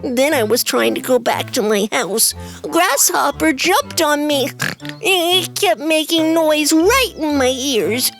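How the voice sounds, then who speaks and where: High, whiny little lost piglet. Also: female voice, animated, takes it slowly, a bit bright, soft background music, heavily acted. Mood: pleading, worried. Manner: plaintive, childlike, hesitant. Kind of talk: animated fairy tale voice acting.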